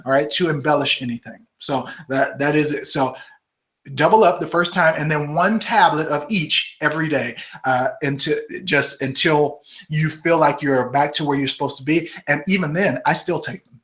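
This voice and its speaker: A man's voice: fairly high pitch, normal speed, fairly bright tone. A man in his forties hosting a live webinar, answering viewer questions in a casual, chatty way and constantly checking in with "okay?" and "all right?". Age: 40s. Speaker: host of a live health webinar. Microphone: computer microphone.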